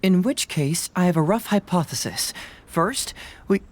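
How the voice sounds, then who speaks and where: An actor voicing a composed, analytical young male detective in an anime video game, measured and articulate. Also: sits high, expressive, a little hiss or hum. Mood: thoughtful.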